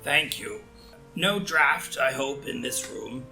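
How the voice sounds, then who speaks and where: A man's voice: mid-range voice, takes it slowly, vocal fry, bright, forceful tone, soft background music, exaggerated voice, low-quality recording. A man playing a crusty, bossy old aristocratic father in a comedy play reading, brusque and scolding. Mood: gruff, demanding.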